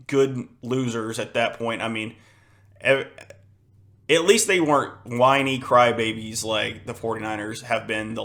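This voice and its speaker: Young guy, hoarse, kinda losing his voice, flat monotone rambling about football, lots of ums. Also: lowish voice. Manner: rambling, frustrated, many fillers and restarts. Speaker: podcast host. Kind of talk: casual solo sports podcast.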